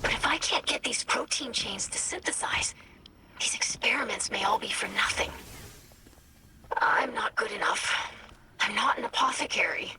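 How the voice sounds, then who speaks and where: Breathy, earnest young alien woman voiced by an actress. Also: on the high side, normal speed, in a whisper, lightly acted, low-quality recording. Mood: self-doubting. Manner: earnest, hesitant, formal phrasing. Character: earnest, anxious young female alien scientist fearing for her father. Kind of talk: video game dialogue acting.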